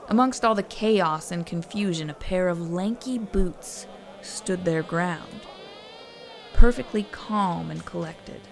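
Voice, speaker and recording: A woman's voice: on the low side, slow, creaky, a crowd in the background. A woman narrating a scripted story in a wry, dramatic way.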